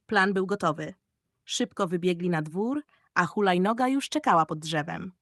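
Expressive woman storyteller, dry close sound. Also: fairly low pitch, moderate pace, no room sound. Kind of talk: children's audiobook narration, expressive.

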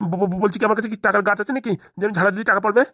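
A woman's voice: medium pitch, steady tone, racing, a bit bright, no room sound, clean studio sound, lightly acted. A woman voicing a timid, anxious cartoon man, a lawyer who pleads and frets in a rural Bengali accent. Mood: pleading. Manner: pleading, fretful, stammering. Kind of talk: comic cartoon dialogue.

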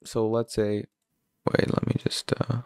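A man giving a casual screen-recorded software tutorial, filling his talk with "obviously" and "you're gonna". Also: mid-range voice, completely flat delivery, unhurried. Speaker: tutorial presenter.

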